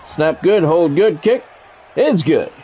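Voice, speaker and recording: A man's voice: fairly high pitch, expressive, takes it slowly, muffled recording, faint music behind. A man doing live play-by-play of a football video game, mixed with blunt, salty, conversational asides. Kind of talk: live sports play-by-play commentary mixed with casual chat.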